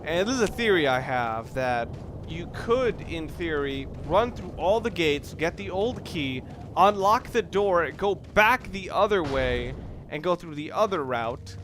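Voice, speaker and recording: A young man with a fairly high voice talks through a video game in a loose, rambling way, often stopping to correct himself. Clear background noise sits under his voice along with the game audio. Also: animated, a little slow. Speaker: let's play commentator. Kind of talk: casual gameplay commentary.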